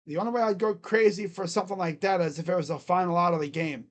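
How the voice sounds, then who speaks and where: A casual baseball play-by-play streamer with a naturally booming, loud delivery. The host is relaxed and talky, filling the gaps between pitches with chatter and idle humming. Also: quick pace. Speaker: live-stream baseball commentator. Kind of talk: casual live play-by-play reaction.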